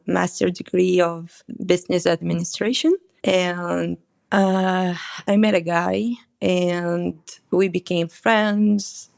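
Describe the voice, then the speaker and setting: A young woman from Morocco speaks English haltingly and slowly, with heavy vocal fry running through her lowish voice. She stops often to find words. The recording is dry and close. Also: darkish tone, no echo. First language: not English. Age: 20s. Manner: hesitant, halting, searching for words. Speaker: interview guest. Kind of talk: conversational interview.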